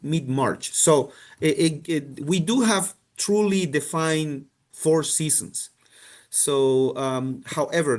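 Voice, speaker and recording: A man from Colombia, a university representative, answering questions on a video call, with many restarts and fillers. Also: a little high. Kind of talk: casual answers in a virtual info session.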